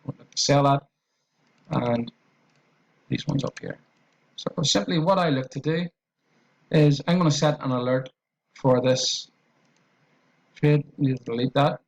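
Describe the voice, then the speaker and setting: A man's voice: medium pitch, a little slow, long pauses, muffled recording. A man with an Irish accent talking through a trading tutorial on screen, in a loose, repetitive way with fillers and restarts. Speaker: trading educator.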